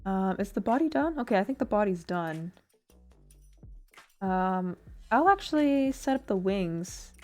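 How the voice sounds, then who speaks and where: A woman chats casually as she builds a model kit, drifting between thoughts with plenty of fillers and self-mocking giggles. Her voice sits at an ordinary middle pitch. She sometimes turns away from the desk mic, and soft music plays faintly behind her. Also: expressive, unhurried, long pauses. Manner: rambling, filler-heavy, giggly, self-deprecating. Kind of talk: casual chat while building, reading chat.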